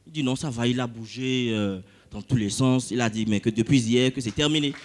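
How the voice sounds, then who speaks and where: A man with a West African French accent recounts a healing story during a church service. He tells it animatedly and pauses on fillers often. His voice sits at an ordinary middle pitch. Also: over loud music. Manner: animated storytelling with frequent hesitations. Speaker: church member giving testimony. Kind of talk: testimony in church.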